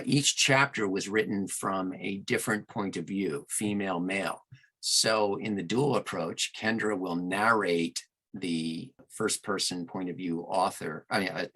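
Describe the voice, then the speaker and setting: A man with a fairly low voice and a very bright, projected edge talks as a guest in an interview. He explains his creative work expansively, leaning on key words with clear enthusiasm. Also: low-quality recording. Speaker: interview guest, audiobook narrator and producer. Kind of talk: conversational explanation of craft.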